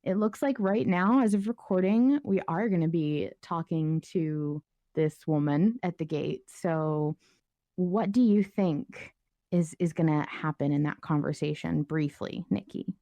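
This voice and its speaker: Female podcast host, casual and conversational, soft mellow tone, close dry sound. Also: low-pitched, unhurried, no echo.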